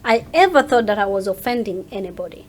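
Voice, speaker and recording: A woman's voice: mid-range voice, expressive, fast talker. A woman speaking casually as a guest in an interview, talking about herself as a content creator. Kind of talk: casual chat.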